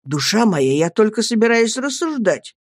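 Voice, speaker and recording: A woman voicing an earnest young husband who reasons gently and patiently, with grave affection, in an audiobook story. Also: medium pitch, sing-song, moderate pace, no room sound, clean studio sound. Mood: tender, serious. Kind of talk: dramatised audiobook reading.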